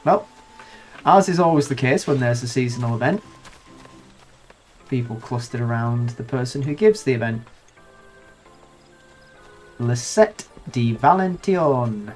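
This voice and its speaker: A man casually commenting on a game playthrough with a wry, self-deprecating humor. His middle-pitched voice is nasal and a bit subdued from a bad cold. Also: lively intonation, a little slow, long pauses, a little mellow, soft background music. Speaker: gaming video host. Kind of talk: casual let's-play commentary.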